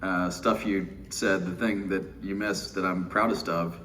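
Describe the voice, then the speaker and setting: A middle-aged American man delivers an informal keynote in a fairly low voice. He takes his time with a wry, joking manner, and the mic is somewhat distant with faint noise behind him. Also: steady tone, unhurried, fairly bright tone. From Houston, Texas, United States. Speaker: keynote speaker. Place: windowless indoor conference room.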